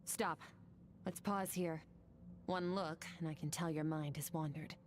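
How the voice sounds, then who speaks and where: Calm female game mentor, low and measured, faint hiss behind. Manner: calm, instructive.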